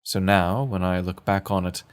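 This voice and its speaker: A man's voice: low-pitched, steady tone, moderate pace, lightly acted. A man acting a brooding millionaire playboy turned hired muscle in a noir audio drama, reflective and literary in his delivery.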